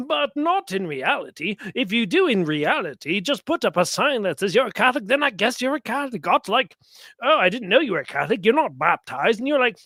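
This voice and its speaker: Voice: medium pitch, pushed and bright, no room sound. A commentator giving sarcastic religious reactions to clips, mocking and often acting out imagined exchanges.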